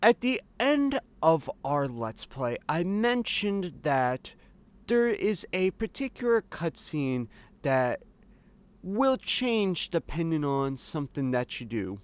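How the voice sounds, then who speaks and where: A man's voice: fairly high pitch, sing-song, takes it slowly, smooth. A man giving casual, chatty let's play commentary in an informal, amused way as host of a video game series. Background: video game music.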